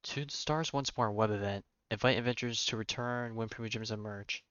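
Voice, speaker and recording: A man's voice: fairly low pitch, a little fast, a bit bright, smooth, dry recording, highs cut off. A man livestreaming a game with casual, informal commentary, thinking aloud and reading on-screen text.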